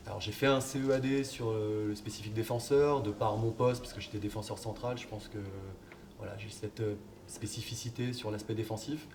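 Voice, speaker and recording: A man, a football coach, answering interview questions in a relaxed, hesitant way with many fillers. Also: on the low side, fairly quick. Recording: distant mic, background noise.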